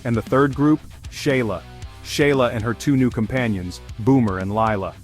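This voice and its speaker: A man's voice: medium pitch, normal speed. A man narrating a first-person comedic fantasy story as a scripted audio series. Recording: music behind the voice.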